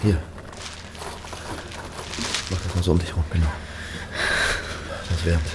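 A middle-aged man playing a caring, commanding godfather in an audio drama, giving short, gentle orders. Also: sits low, flat delivery, takes it slowly. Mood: protective. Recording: clearly noisy ambient scene.

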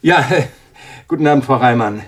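An actor playing an uneasy, irritable scientist in a German radio thriller, speaking in a hesitant, agitated way. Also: male voice, mid-range voice, normal speed, close to an everyday voice. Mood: hesitant, polite. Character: uneasy, irritable male scientist living alone with budgie.

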